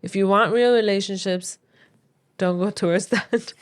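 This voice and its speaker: A woman's voice: mid-range voice, steady tone, moderate pace. A woman co-hosting a podcast in casual chatty talk, opinionated and emphatic as she gives advice. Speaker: podcast co-host.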